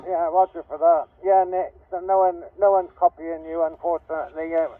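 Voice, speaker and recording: A man's voice: fairly high pitch, level tone, a little fast, no echo. A man relaying calls in a casual exchange as a CB operator, heard over a radio transmission. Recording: tinny CB radio, loud static, distant.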